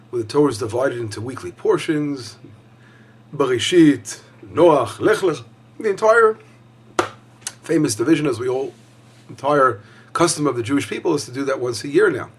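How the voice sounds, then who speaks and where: A hoarse man teaching a weekly Torah lesson as a rabbi, talking conversationally with frequent fillers and restarts. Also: on the high side, animated, a little fast.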